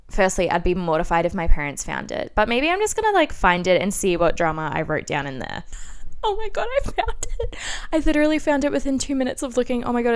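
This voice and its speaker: Clear-voiced young Australian woman, casually confessional. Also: mid-range voice. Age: 20s. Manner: animated, self-mocking, giggly asides, frequent "like". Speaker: podcast host. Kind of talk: casual, confessional podcast storytelling and diary reading.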